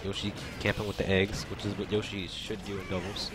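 A man's voice: deep voice, flat delivery, brisk, background noise. A young man commentating a video game tournament stream, chatting loosely and laughing between plays. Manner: casual, laughs often, answers chat between plays. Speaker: esports commentator. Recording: loud music behind. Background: game music.

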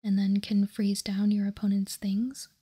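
Woman, ASMR host, hushed breathy whisper, soft dark tone, even and calm, close-miked. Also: medium pitch, a little slow. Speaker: host of a card game ASMR channel. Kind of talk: ASMR, softly whispered card-by-card commentary.

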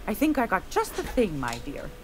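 Friendly shopkeeper in a game, folksy n obliging, lilting up and down, bit of noise. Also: female voice, medium pitch, wildly swooping pitch, normal speed. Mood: obliging, chatty. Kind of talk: video game voice acting.

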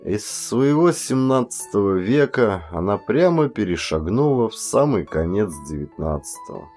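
A man's voice: lowish voice, big pitch swings, moderate pace, darkish tone. A man speaking as an audiobook narrator, in a scripted reading style. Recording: music under the voice.

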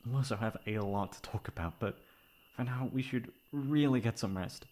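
A man playing a tender, flustered, gently teasing best friend in love, in an intimate, halting ASMR romance roleplay. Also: mid-range voice, lightly acted. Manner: soft, halting, affectionate.

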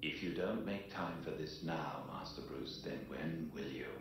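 An elderly butler in a game, very low and slow. He is formal, patient and caring. His voice rings with heavy echo, as if from across a room. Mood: gently urging. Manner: gentle, formal, unhurried. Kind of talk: scripted game dialogue. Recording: very echoey, off in the distance.

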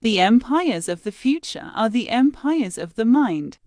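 Slow, lively-pitched woman reading quotes. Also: no room sound, clean studio sound. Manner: measured, deliberate reading with pauses between phrases. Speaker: quote reader.

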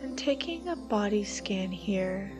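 Slow-paced woman guiding meditation over music. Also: mid-range voice, expressive. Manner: slow, soothing instructional delivery with long pauses. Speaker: meditation guide. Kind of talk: guided meditation. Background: background music.

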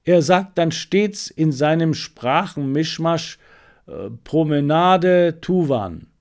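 A talkative, proud owner of a travelling animal show, acted out by a male audiobook reader. His pitch moves up and down in lively swings, and he chats with small hesitant interjections. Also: slow. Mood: casual, grumbling.

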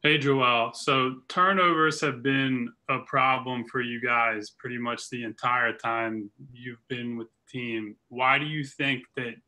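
A man's voice: medium pitch, steady tone, slow pace, hardly any pauses, pushed and bright, low-quality recording. A man asking a direct question as a reporter at a press conference.

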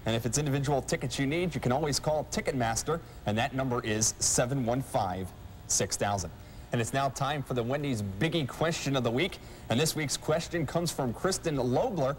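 A man's voice: mid-range voice, brisk, slight background noise. A young man presenting a sports show as host, in an announcer's role at an indoor rink. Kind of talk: sports show presenting, reading schedule and viewer question.

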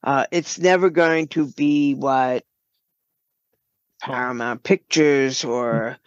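Woman podcast guest, talks slow and kinda halting, close dry sound but a bit lo-fi. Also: extremely low pitch, steady tone, no echo. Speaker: podcast guest, writer and producer. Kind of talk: unscripted interview talk.

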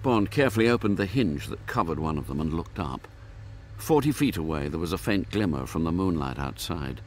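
A man narrating a thriller radio drama in a measured, descriptive manner. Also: sits low, very animated, slight background noise. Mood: measured suspense.

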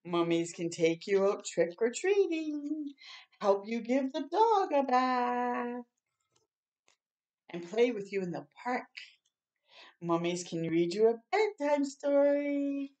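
An older woman hosting a children's storytime, reading a picture book aloud slowly and gently, pausing to react to the pictures. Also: on the high side, very animated. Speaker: storytime host. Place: small room indoors.

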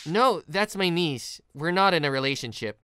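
A woman's voice: low voice, animated, moderate pace, fairly bright tone, smooth, dry recording, studio quality. A woman playing a kind, responsible young office worker and uncle in a story, with an earnest, attentive manner. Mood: explaining. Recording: music behind the voice.

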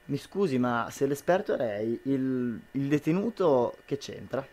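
A man's voice: medium pitch, animated, measured pace, darkish tone, lightly acted. A young man playing a devoted, anxious husband in an amateur radio drama, earnest and tender. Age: young adult.

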